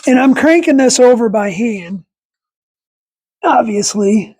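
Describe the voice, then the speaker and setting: A raspy man in his forties with a head cold, rambling folksy and correcting himself while talking through engine work in a garage on a lapel mic. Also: very high voice, animated, takes it slowly, a little mellow. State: congested with a cold. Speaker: channel host. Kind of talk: casual workshop vlog. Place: home garage workshop, indoors.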